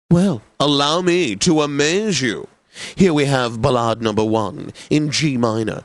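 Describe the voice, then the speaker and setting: A man's voice: mid-range voice, no echo, natural voice. Actor as pompous, suave classical radio DJ man. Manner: pompous, theatrical, savouring words. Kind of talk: radio announcing, video game comedy.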